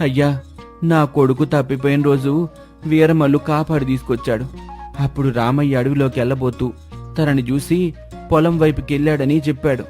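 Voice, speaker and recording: A man's voice: mid-range voice, steady tone, fairly quick, a little mellow, soft background music, close to an everyday voice. A man playing an anxious villager in a moral story, deferentially explaining and defending himself. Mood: defensive. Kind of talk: acted moral storytelling dialogue.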